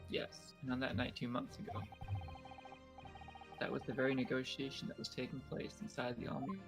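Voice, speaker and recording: Man acting as young male lawyer, earnest, formal, measured questioning, creaky mid-pitched voice, game music underneath. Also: lightly acted. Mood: accusing. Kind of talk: amateur fan dub of a courtroom video game.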